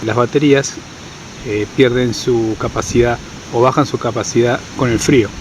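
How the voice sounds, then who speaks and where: A man's voice: medium pitch, moderate pace. A man giving an informal, didactic tutorial, often checking understanding with short questions. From Argentina. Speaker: outdoor guide giving a tutorial. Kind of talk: informal instructional explanation. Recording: clear steady ambient background noise.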